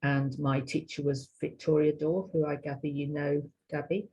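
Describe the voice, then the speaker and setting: A British woman in middle age with a husky, soft-edged voice. Her pitch stays fairly flat and her tone is mellow as she recounts her own experiences, haltingly and with modesty. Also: poor audio. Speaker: interview guest, violinist and researcher. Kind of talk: personal storytelling in a panel chat.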